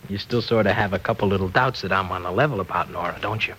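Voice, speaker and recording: A man's voice: deep voice, quick pace, fairly bright tone. An actor playing an earnest young man in love, casual and a little bashful, in 1940s radio serial acting. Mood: earnest, insistent. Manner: casual, bashful, hesitant when flustered. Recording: faintly noisy old radio recording.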